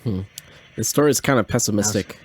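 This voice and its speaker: A man's voice: lowish voice, fast, breathy edge. A man giving casual reaction commentary as a podcast host, laid-back and colloquial.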